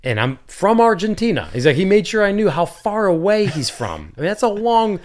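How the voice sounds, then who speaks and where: A man's voice: sits high, brisk. A man hosts a casual podcast, spinning a long story about a trip and a friend. He slips into the voices of the people he quotes and keeps the talk loose and chatty. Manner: animated storyteller, acts out quotes. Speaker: podcast host.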